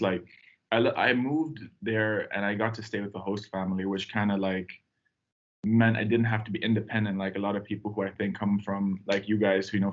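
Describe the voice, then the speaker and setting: A man in his twenties, who grew up in Tanzania, telling his life story in a relaxed, rambling podcast chat that turns lively at times. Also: lowish voice. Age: 20s. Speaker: podcast guest member. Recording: dull lo-fi sound.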